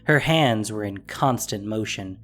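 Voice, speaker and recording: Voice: mid-range voice, measured pace, a bit bright, faint music behind. A narrator reading a scripted fantasy story in a dramatic storytelling style.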